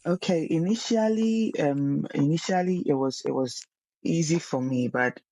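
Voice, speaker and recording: A woman's voice: deep voice, unhurried, warm tone, no room sound. A young woman, a singer guesting on a casual podcast, earnest and often repeating words as she searches for them.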